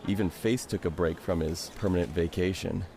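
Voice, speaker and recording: An actor playing a wry, easygoing onlooker in a game cutscene. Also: male voice, low-pitched, steady tone, a little fast, gravelly, noisy background.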